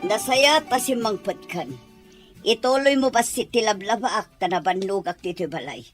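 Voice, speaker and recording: A woman's voice: medium pitch, animated, normal speed, soft background music, natural voice. An actress playing a stern, domineering middle-aged mother-in-law in a radio drama, scolding and bossy. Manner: scolding, sharp-tongued.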